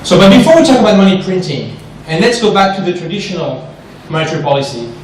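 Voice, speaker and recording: A man with a French accent gives an animated investment seminar to an audience, often checking in with "right?". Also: high-pitched, fast, a little hiss or hum. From France. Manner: animated, asks the audience questions, frequent "right?". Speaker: investment specialist presenter. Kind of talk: seminar presentation. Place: large echoey room with an audience. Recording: echoey room from far off.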